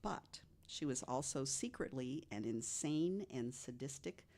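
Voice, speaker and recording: A low-voiced woman recounts tales in a conversational podcast style. She phrases things deliberately and leans on key words for emphasis. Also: expressive, dark tone. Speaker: podcast guest storyteller. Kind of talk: conversational storytelling of ghost stories.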